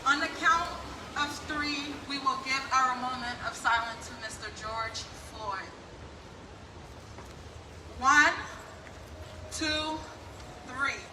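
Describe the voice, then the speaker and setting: A woman's voice: high-pitched, slow pace, pauses often, echoey room, distant mic, background noise. A woman emceeing an outdoor rally, giving the crowd directions and calling on the next speakers.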